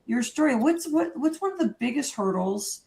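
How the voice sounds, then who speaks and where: A woman's voice: high voice, expressive, vocal fry, darkish tone. Enthusiastic host in her fifties. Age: 50s. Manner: enthusiastic, halting, many fillers. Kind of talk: hosting a panel conversation.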